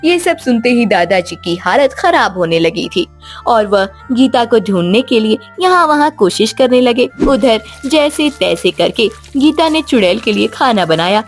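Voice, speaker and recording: A woman narrates a scripted children's tale. Her voice is fairly high and very lively in pitch, over faint music. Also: a little slow.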